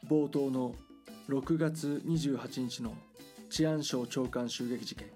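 A man reading scripted documentary drama narration in a measured, serious news-report manner. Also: medium pitch, fairly even intonation, mellow, soft background music.

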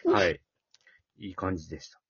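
Excitable Kansai man, wildly swinging pitch. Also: moderate pace, creaky, breathy edge. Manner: excitable, repeats words in surprise. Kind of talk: casual chat. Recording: dull lo-fi close dry audio.

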